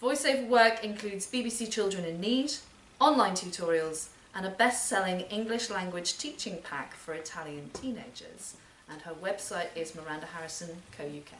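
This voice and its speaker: A woman's voice: lowish voice, moderate pace, hardly any pauses, fairly bright tone. Female literary-event host delivering prepared introductions, recorded at a distance in a reverberant space. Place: performance space in a library centre. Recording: roomy, distant mic, background noise.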